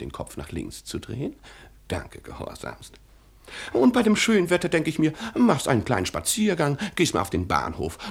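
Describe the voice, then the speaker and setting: A man's voice: medium pitch, gravelly, breathy edge, heavily acted. An actor in a German radio play as a fawning, chattering valet and barber who rambles and giggles at his own gossip. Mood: fawning, chatty.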